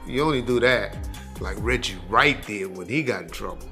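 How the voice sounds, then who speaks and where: A man's voice: on the low side, normal speed, vocal fry, bright, forceful tone, faint music behind. A man giving loose, informal commentary, chuckling as he talks and often restarting his sentences. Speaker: commentator on a podcast clip. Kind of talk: casual spoken commentary.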